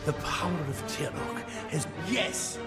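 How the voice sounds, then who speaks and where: An actor playing an imperious, power-hungry fantasy game villain with a theatrical delivery. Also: male voice, a little high, expressive, a little slow. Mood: fevered, commanding. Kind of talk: dramatic fantasy game dialogue. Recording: cavernous long reverb, music behind.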